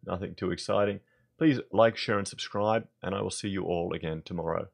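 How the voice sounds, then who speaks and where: An Australian man with a hoarse voice, giving blunt, conversational opinion commentary in his vlog. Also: low voice, brisk. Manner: blunt, conversational, thinking aloud. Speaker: vlogger.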